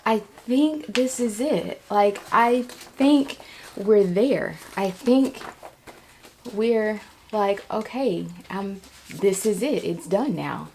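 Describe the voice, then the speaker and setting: A woman vlogging casually and reflectively, sounding nervous, with halting speech that circles back and repeats phrases for emphasis. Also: medium pitch, expressive, dark tone, slight background noise. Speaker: vlogger, writer and storyteller. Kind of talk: casual, reflective vlog talk.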